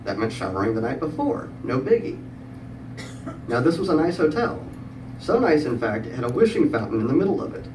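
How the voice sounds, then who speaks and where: A middle-aged man tells a humorous story live, in a prepared style with wry, dry wit and comic pauses. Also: medium pitch, big pitch swings. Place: live event room with audience. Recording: echoey room, distant mic, clear background noise.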